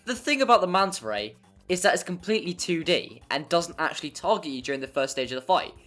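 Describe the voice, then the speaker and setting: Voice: medium pitch, lively intonation, fast talker, a bit bright. A narrator reading a scripted video game review in a wry, mildly sweary, hyperbolic way. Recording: music playing behind. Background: background music.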